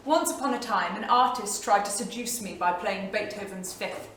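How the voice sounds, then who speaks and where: A woman's voice: mid-range voice, normal speed, fairly bright tone, close to an everyday voice. Woman acting intense 1930s wife, very echoey stage. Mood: bold, playful. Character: intense, bold, restless wife in 1938. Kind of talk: staged reading, dramatic monologue. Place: small theatre studio. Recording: echoey theatre, distant mic, faint noise.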